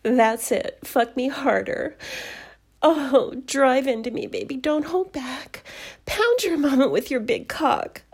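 A woman playing a doting, possessive middle-aged mother in an intimate audio roleplay, speaking in a soft, whispery murmur. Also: sits high, very animated, slow, exaggerated voice. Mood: passionate. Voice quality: breathy, whispery.

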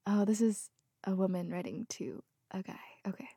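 A young woman softly whispering as she reads posts aloud, giggling and getting teary between them. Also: medium pitch, normal speed, husky, dry recording, studio quality. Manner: soft whispering, giggly, tearful asides. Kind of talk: whispered reading of posts, ASMR.

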